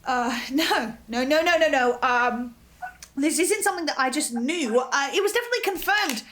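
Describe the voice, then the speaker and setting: A woman's voice: high-pitched, expressive, moderate pace, a bit bright. A woman playing a nervous, earnest, apologetic researcher in a naturalistic audio drama, stammering and repeating words. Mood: flustered, defensive. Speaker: host of a listen-along show.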